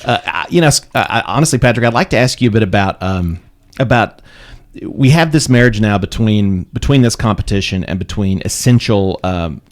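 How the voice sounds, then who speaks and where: A man hosting a radio interview, asking questions in a halting way with many fillers and restarts. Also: fairly low pitch, lively intonation. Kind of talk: casual radio interview talk. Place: radio studio.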